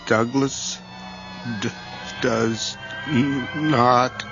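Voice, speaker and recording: An actor playing a frightened, submissive possessed man in a radio horror drama, halting and husky. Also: mid-range voice, flat delivery. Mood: strained, fearful. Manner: halting, faltering. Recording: dull radio drama, faint music.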